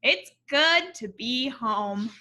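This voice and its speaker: A woman's voice: fairly high pitch, expressive, very slow, sharp tone. A woman reading a picture book aloud to children, expressively, with explanatory asides and questions for her young listeners.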